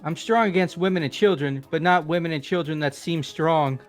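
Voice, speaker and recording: A blunt, shameless young goofball in a visual novel game, voiced by a man. He talks in a fairly high, blustering voice over background music. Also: fairly quick, lightly acted.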